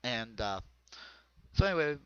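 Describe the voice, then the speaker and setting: A man's voice: medium pitch, big pitch swings, unhurried, clear, resonant tone, no room sound. American man rambling through a comedy monologue. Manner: rambling, conversational storytelling. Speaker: amateur comedian. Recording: dull, lo-fi close dry recording.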